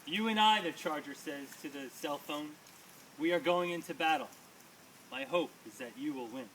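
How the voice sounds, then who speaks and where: A man with a slightly high, bright and forceful voice reads poetry to a live audience. His delivery is emphatic and rhythmic, mixed with casual asides. The mic sits at a distance, with some faint noise around him. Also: normal speed. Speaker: poet reading own poems to an audience. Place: small live reading before an audience.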